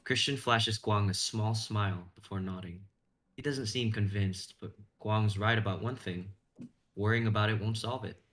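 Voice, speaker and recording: A man's voice: on the low side, fairly even intonation, moderate pace, fairly bright tone, slight background noise. A young man reading scripted narration and stage directions as narrator. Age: young adult.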